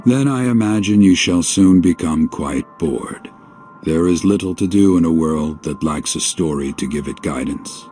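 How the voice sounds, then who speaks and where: A man's voice: low voice, moderate pace, mellow, lightly acted. A man playing an enigmatic, knowing guide in a video game, with formal archaic diction and a theatrical, portentous delivery. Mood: coolly knowing. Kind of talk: dramatic video game cutscene acting. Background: game music.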